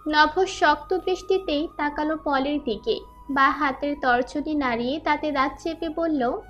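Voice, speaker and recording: A woman narrates a scripted drama as a storyteller. Her voice is high in pitch, and soft music runs behind the narration. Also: unhurried, muffled recording. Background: faint background music.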